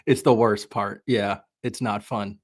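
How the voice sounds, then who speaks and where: A man's voice: fairly low pitch, fairly even intonation, a little slow, dry recording, studio quality. An American man speaking as a podcast guest, giving informal, wry, conversational sports commentary. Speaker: podcast guest, soccer journalist.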